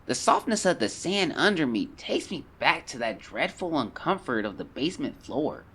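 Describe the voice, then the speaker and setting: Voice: mid-range voice, sing-song, normal speed, fairly bright tone, slightly rough, heavily acted. An actor playing an earnest, sensitive young diarist of the 1910s, reading journal entries formally and plaintively in an audio drama. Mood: troubled. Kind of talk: audio drama diary reading, old-fashioned diction.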